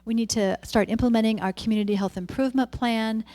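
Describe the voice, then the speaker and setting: A woman's voice: fairly high pitch, steady tone, fast, warm tone. A woman, a public health official presenting plans to a city committee in an informal way, walking through her slides.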